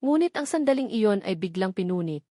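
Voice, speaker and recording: A woman reading a romance story aloud in a steady, emotive, unhurried narration style. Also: medium pitch, dry recording, clean studio sound. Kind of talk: dramatic scripted story narration.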